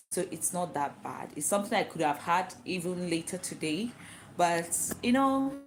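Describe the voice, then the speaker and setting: Nigerian woman vlogger with an Igbo first language, low-pitched voice, casual and talkative, walks through her reasons point by point. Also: fairly bright tone, slight background noise, poor audio. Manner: chatty and explanatory, asks herself questions and answers them. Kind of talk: casual talk to camera.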